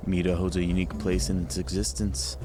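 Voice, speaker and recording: A man's voice: sits low, level tone, brisk, noisy background, lightly acted. A man playing a regal, benevolent desert ruler and master in a fantasy audio drama, speaking with formal authority. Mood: encouraging, stately.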